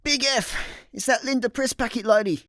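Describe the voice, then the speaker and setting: Male actor as Scottish radio-comedy layabout, high voice, wry, laid-back, matter-of-fact. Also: moderate pace, fairly bright tone, dry recording, studio quality. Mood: dry. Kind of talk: comedic sci-fi radio play.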